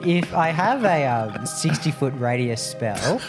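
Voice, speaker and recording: A man's voice: mid-range voice, big pitch swings, unhurried. Casual male tabletop player over music. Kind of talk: casual game-table chat. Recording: music playing behind the voice.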